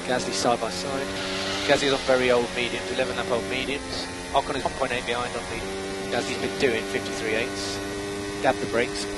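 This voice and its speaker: A man working as a race engineer, giving terse instructions and updates over team radio, often repeating key calls. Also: mid-range voice. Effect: team radio transmission. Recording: noisy motor racing team radio.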